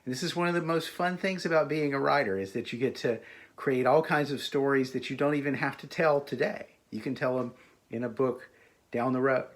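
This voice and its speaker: Male author, casual recorded greeting, hesitant with fillers, mid-pitched voice. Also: fairly even intonation, a little fast. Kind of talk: recorded message to a library audience.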